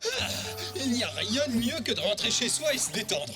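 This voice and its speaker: A woman voicing a friendly, playful child in an animated cartoon, chummy and coaxing. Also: fairly high pitch, moderate pace, over loud music.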